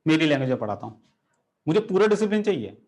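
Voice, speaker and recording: Man, chemistry teacher, lively lecture, fairly high voice. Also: racing. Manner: animated, rhetorical questions, addresses students directly. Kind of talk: classroom lecture with storytelling.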